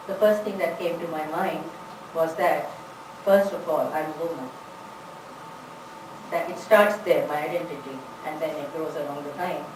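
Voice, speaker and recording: Woman presenter in an echoey hall. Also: deep voice. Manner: warm, chatty, fillers like "you know". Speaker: conference presenter, English teacher. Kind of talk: conference talk and Q&A. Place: large conference room. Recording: echoey hall, distant mic, background noise.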